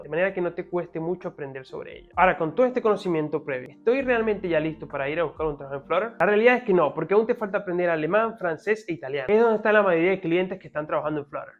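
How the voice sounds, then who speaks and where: Fast-talking young man, tinny sound. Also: fairly high pitch. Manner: friendly, direct, addresses viewer as tú, dry jokes. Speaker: developer teaching a learning path. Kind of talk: casual tutorial talk to camera.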